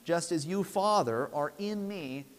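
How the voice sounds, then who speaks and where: A preacher with a slightly high-pitched male voice delivers an expository sermon. He speaks earnestly and deliberately, repeating phrases for emphasis. His lapel-miked voice carries a long reverb from the church sanctuary. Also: expressive. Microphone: lapel mic.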